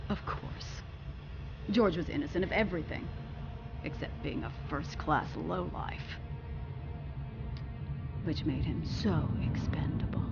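A woman's voice: on the low side, lo-fi sound. A woman playing a ruthless, proud middle-aged corporate villain in a video game, haughty and cutting. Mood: bitter, disdainful. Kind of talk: video game cutscene acting.

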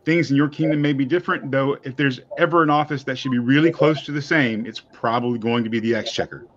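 A man's voice: medium pitch, quick pace, a bit bright. Guy hosting from home on a webcam, rambly and joking at himself, kinda muffled call audio. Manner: chatty, self-deprecating, rambling. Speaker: host. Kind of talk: casual chat. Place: home room. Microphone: webcam on video call.

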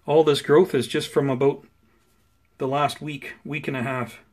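A man giving a casual, unscripted plant update in an unhurried, explanatory, conversational way, recorded indoors on a handheld camera. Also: on the high side, fairly even intonation, darkish tone. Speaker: host of a plant-growing video channel. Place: indoors at home beside potted seedlings.

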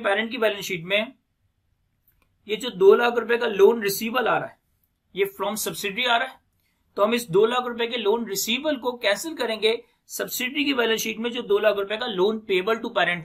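A man with a notably high, bright and forceful voice delivers a lecture in a Pakistani accent. He explains step by step as an accounting teacher, repeating key phrases for emphasis and dropping in English technical terms. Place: indoors, recorded screen lecture at a desk.

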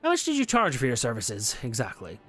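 A man's voice: mid-range voice, huge pitch swings, fairly quick, a bit bright. A man voicing a stern revolutionary judge in a game, formal, commanding and probing. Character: stern male revolutionary judge questioning a defendant.

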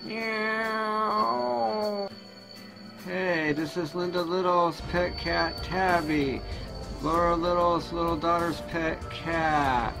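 A man's voice: high voice, fairly even intonation, very slow, creaky, full character voice, poor audio. A man playing a brash flying superhero. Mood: caring. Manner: loud, emphatic heroic declarations. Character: heroic flying male superhero, brash and confident. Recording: music playing behind.

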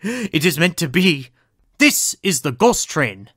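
A man's voice: fairly high pitch, big pitch swings, slow pace, a bit bright, no echo, clean studio sound, exaggerated voice. A man playing a genteel, cheerfully oblivious doctor from the past in an audio drama, formal and enthusiastic in manner. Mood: eager. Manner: formal, old-fashioned, enthusiastic.

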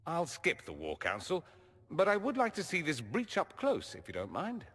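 A man's voice: a little high, normal speed, in a large hall. A vain, witty mage nobleman. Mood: wry. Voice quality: arch, ironic wit. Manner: lively, teasing, theatrical. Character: witty, vain, charming male mage nobleman, young adult. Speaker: mage companion. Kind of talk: video game dialogue.

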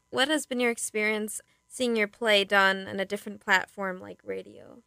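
A woman's voice: medium pitch, steady tone, moderate pace, vocal fry, bright, forceful tone, clean tone, no room sound, clean studio sound. A young woman interviewing someone in a casual, informal and affirming way.

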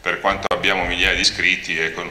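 A man's voice: low voice, flat delivery, quick pace, over music. A man from a consumer association giving opening remarks into a handheld mic, retelling past conversations as a story. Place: large conference hall, standing. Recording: echoey hall with long reverb.

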